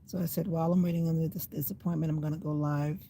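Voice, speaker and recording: Older woman vlogger, slightly raspy, low and mellow tone, rambling chatty livestream from a parked car, phone mic, faint ambience behind. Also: level tone, fast talker, a little hiss or hum. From USA. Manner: rambling, chatty, talking to herself. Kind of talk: casual livestream chat. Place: inside a parked car in the rain.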